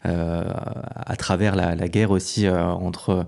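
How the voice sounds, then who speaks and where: A man hosting a casual interview, with hesitant, drawn-out phrasing. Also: low voice, level tone, vocal fry, darkish tone. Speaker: podcast host, interviewer.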